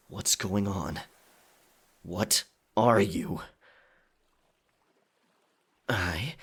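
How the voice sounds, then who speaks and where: A man playing a roguish, gallant pirate captain in an ASMR audio roleplay, with a husky, breathy voice and dramatic, unhurried delivery. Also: fairly low pitch, exaggerated voice. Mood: suspicious, awed. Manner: slow, dramatic, hushed at times.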